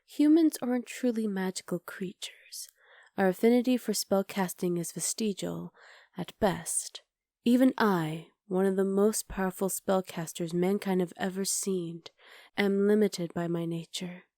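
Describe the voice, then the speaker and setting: A woman playing a haughty, menacing witch conqueror in an ASMR roleplay, speaking in soft, measured, formal sentences with a hushed, breathy delivery. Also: medium pitch, no echo, studio quality, lightly acted. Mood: calculating, proud. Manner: imperious, measured, formal diction.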